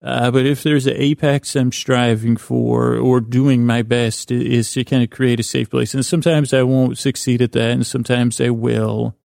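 A man with a creaky, middle-pitched voice murmurs a meandering bedtime monologue in soothing tones. His delivery stays very flat, with halting restarts and sentences that drift off. The sound is close and dry, as a podcast host speaking straight to the listener. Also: no echo. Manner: halting, meandering, with stammered false starts and trailing thoughts.

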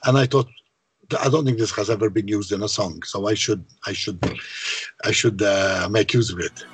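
A man from Malta with a low voice, talking about his songwriting in a casual interview, often hesitating and repeating words. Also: steady tone, normal speed, slight background noise. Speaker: songwriter guest.

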